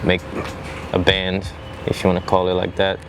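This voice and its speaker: Low-voiced man from Brazil, informal. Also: completely flat delivery, normal speed, background noise. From Brazil, half French. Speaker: musician and producer in a band. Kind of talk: casual interview answers.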